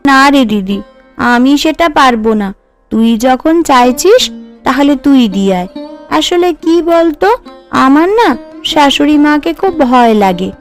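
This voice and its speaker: A young cartoon daughter-in-law , acted by a woman, sweet, homely and deferential. She chatters in a fairly high, very lively voice with music playing underneath. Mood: timid. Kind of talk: Bengali cartoon voice acting. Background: background music.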